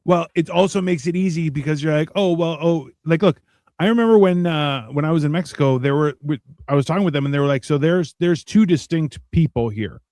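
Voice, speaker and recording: A man's voice: on the high side, a little fast, darkish tone, no room sound, studio quality. A man in casual panel chat, sarcastic and sweary, given to telling anecdotes. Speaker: talk show panelist.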